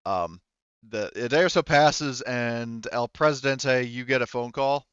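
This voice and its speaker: A man speaking as game master, casually narrating a tabletop roleplaying game in a halting, improvised way. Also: mid-range voice, fairly bright tone, clean tone, no room sound, lo-fi sound. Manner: halting, informal, loosely improvised delivery.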